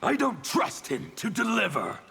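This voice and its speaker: An actor playing a fanatical, defiant anarchist villain in a fighting game, with a strained, theatrical delivery. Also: male voice, high voice, huge pitch swings, unhurried, bright, forceful tone, full character voice. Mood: contemptuous. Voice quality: gravelly, strained. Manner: theatrical, declamatory. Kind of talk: fighting game character dialogue. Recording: long reverb, faint background noise.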